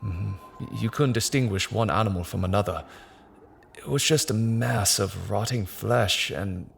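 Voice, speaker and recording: A man's voice: fairly low pitch, moderate pace, gravelly, slight background noise. An actor playing a grave, hesitant construction company man recalling something grim in an audio drama. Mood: sombre, haunted. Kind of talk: naturalistic audio drama dialogue, recounting in an interview.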